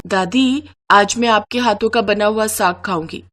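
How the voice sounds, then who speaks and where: A woman's voice: medium pitch, normal speed, fairly bright tone, close to an everyday voice. A woman voicing a sweet, caring young bride who speaks earnestly and respectfully, eager to please. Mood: affectionate.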